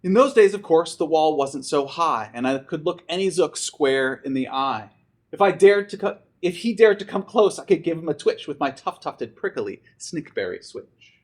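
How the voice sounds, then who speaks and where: A man's voice: a little high, expressive, moderate pace. A man, a teacher, reading a rhyming picture book aloud to students in a theatrical, emphatic way.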